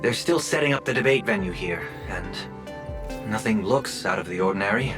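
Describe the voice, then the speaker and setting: A man's voice: on the low side, lively intonation, normal speed, close to an everyday voice. A man voicing a courteous, dutiful agent in an anime game, formal and businesslike, over background music. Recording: music behind.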